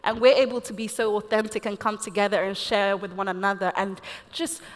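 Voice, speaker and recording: A woman's voice: medium pitch, level tone, a little fast. Earnest woman panelist in an echoey hall. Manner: earnest, passionate. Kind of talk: panel discussion.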